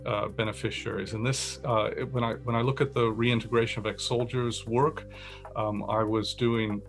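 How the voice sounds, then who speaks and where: A man, a professor, explaining his research in a steady, explanatory way with some restarts. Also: lowish voice. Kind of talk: interview-style talk about research.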